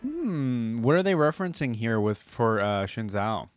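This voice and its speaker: A man's voice: medium pitch, big pitch swings, unhurried, soft background music. A man giving casual, slangy reaction commentary as a video host, with appreciative interjections.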